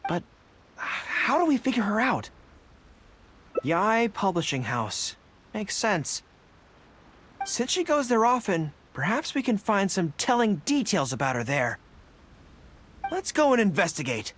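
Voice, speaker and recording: A man's voice: high-pitched, sing-song, measured pace, long pauses, a bit bright, slight background noise, exaggerated voice. A man voicing an earnest, dutiful young general in an English anime game, thinking aloud in formal military phrases. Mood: pondering, resolved. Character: earnest young male general, dutiful, eager, slightly flustered.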